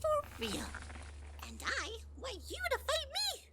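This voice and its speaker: A sickly-sweet flower creature purring threats in a bright, high, swooping singsong. He drawls slowly and mocks with false kindness. A faint hiss of noise sits behind him in this fan audio drama. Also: huge pitch swings, exaggerated voice. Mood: menacing. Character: sugary, sinister male flower creature, manipulative.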